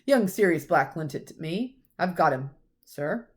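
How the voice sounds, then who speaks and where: A woman voicing a loyal, rough-spoken and tender-hearted giant man for a storybook. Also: low voice, expressive, measured pace. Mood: respectful, eager.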